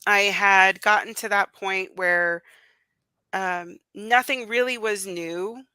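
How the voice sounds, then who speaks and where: A woman's voice: mid-range voice, fairly even intonation, slow pace, pushed and bright, clear, resonant tone, dry recording. A woman whose first language was Russian, talking casually as an interview guest, with many fillers and restarts. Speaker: interview guest, communications business founder. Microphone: remote call.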